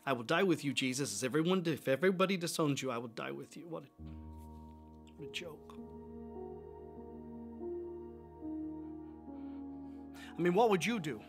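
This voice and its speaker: A man's voice: on the high side, lively intonation, fast talker. A man playing a remorseful, impulsive fisherman disciple in a first-person dramatic monologue, confessing with rising emotion. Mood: grieving. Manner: confessional, swings from wry to anguished outbursts. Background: instrumental music.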